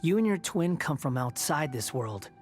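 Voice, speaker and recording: A man's voice: on the high side, sing-song, normal speed, soft background music. A man voicing a haughty young antihero in a video game, sarcastic, curt and dismissive. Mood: dismissive, guarded. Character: sardonic, haughty young male puppet antihero.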